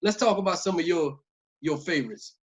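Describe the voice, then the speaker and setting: A man with a slightly high voice chats as a podcast interviewer. His talk is informal and slangy, with the enthusiasm of a fan. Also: animated, a little fast. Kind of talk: casual enthusiastic hip-hop interview chat.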